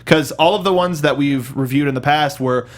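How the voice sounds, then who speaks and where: A man's voice: on the high side, moderate pace. A man hosting a casual film review discussion, talking like an enthusiastic fan. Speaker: talk show host.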